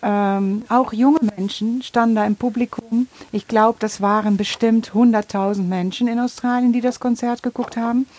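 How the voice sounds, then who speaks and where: A woman's voice: fairly high pitch, steady tone, moderate pace, hardly any pauses, a little mellow. A woman in her fifties chatting casually and sharing an enthusiastic story. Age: 50s. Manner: attentive listener, enthusiastic storyteller. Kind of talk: casual chat.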